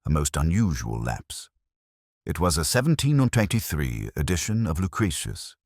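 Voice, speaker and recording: A man narrating a scripted first-person detective story in a solemn, literary, measured manner. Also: very deep voice, breathy edge, no room sound, clean studio sound. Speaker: audio drama narrator.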